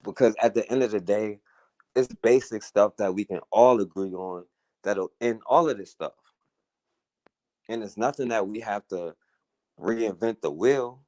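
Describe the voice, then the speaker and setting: A man's voice: on the low side, unhurried, long pauses, a little mellow, no echo. A man hosting a talk radio show, talking through a casual, earnest monologue, often saying "you know" and "right?".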